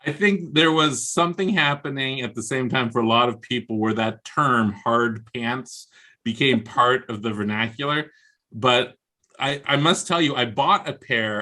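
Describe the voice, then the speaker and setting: Wry American man, bright voice. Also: medium pitch. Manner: wry, comically exaggerating, self-deprecating. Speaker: guest author. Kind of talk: casual video interview. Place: indoors on a video call. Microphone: video call.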